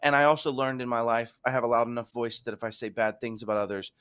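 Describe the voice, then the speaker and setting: A man's voice: medium pitch, fast, fairly bright tone, clear, resonant tone. Enthusiastic man hosting a chat. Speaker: podcast host. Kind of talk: casual chat.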